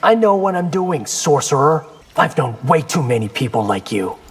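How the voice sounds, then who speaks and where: An actor playing a cocky, determined young warrior in a video game, casual and wry in delivery. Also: male voice, a little high, very animated, moderate pace, slightly rough. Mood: defiant. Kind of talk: video game character dialogue.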